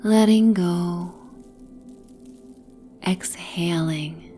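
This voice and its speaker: A woman with a soft, breathy, near-whispered voice gently narrating a soothing guided sleep meditation and bedtime story. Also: on the low side, faint music behind. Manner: gentle, soothing, hypnotic, with long pauses.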